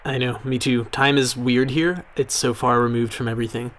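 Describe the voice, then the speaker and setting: A male actor as a mild young male archivist in a naturalistic audio drama. His voice sits at an average male pitch. He is hesitant and reserved, halting as he goes, and a little awkward. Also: level tone, a little hiss or hum, lightly acted. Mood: casual, reserved. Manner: hesitant, soft-spoken, halting.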